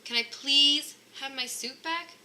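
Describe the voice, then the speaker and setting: A woman's voice: high voice, unhurried, sharp tone, voice pushed up, exaggerated voice. A woman voicing an exasperated, flustered old Santa Claus in a children's storybook.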